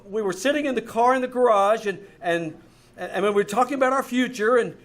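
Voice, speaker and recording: Man playing a high-voiced, flustered young fiance. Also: fairly quick, close to an everyday voice. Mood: flustered, pleading. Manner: stammering, earnest. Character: nervous, lovestruck young fiance covering for his girlfriend. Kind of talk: old-time radio mystery acting. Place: live on a theater stage.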